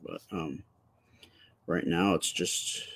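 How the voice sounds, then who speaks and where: Soft-spoken deep-voiced man, close mic. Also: fairly even intonation, vocal fry, slightly rough. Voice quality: quiet, soft voice. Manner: rambling, halting, with many fillers and restarts. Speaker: artist live-streaming while drawing. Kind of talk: casual live-stream chat with viewers. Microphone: close to the microphone.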